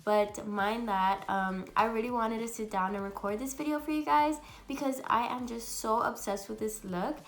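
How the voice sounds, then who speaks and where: A young woman with a raspy, congested voice from a cold, chatting bubbly through a makeup tutorial at home. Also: fairly high pitch, fairly even intonation, moderate pace, hardly any pauses. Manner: chatty and bubbly, many fillers. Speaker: beauty vlogger. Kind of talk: casual makeup tutorial chat.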